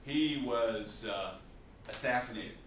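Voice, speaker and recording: A man's voice: mid-range voice, slow, a bit bright, a little hiss or hum. Man lecturing in an echoey room. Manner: loose storytelling with frequent fillers and restarts. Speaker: history teacher. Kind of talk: unscripted classroom lecture. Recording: echoey room, mic at a distance.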